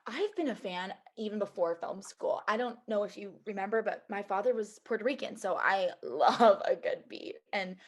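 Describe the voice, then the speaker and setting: A woman's voice: medium pitch, moderate pace, few pauses, close to an everyday voice. A woman playing a brash, weary Hollywood executive assistant in a comedic table read over a video call. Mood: wry, familiar. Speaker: actor in a screenplay table read. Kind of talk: comedic script acting.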